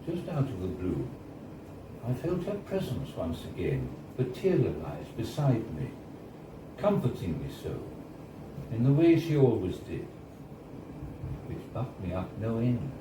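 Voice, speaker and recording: A man reads a story to a live room in a theatrical, measured style. His voice is fairly low and moves widely in pitch, with deliberate pauses. It is recorded from a distance in an echoey, noisy bar. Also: huge pitch swings. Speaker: reader of a story. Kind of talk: live dramatic story reading.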